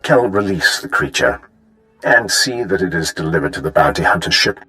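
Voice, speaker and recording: A man's voice: sits low, animated, normal speed, sharp tone, soft background music, rough recording. A man playing a cool, formal military officer in a sci-fi video game, speaking in a clipped, authoritative way. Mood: stern. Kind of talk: video game character acting.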